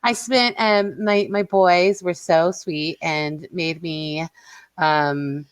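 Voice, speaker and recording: A woman's voice: lowish voice, fairly even intonation, slow, clean tone. A woman co-hosting a podcast in casual, irreverent banter, giggly and a little tipsy, speaking into a headset microphone. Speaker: podcast co-host.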